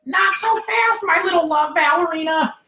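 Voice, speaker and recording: A performer voicing a smug, nerdy young man with a squeaky, nasal, wheedling sweet-talk. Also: moderate pace, bright, forceful tone. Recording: roomy, echoing live room.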